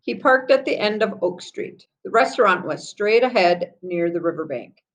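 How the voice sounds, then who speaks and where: A woman reading a children's story aloud with deliberate storytelling phrasing. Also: mid-range voice, expressive, a bit bright, low-quality recording.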